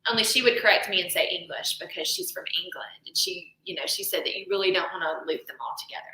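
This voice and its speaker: A woman co-hosting a casual chat, animated and quick to act out quotes. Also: on the low side. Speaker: podcast co-host. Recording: echoey room.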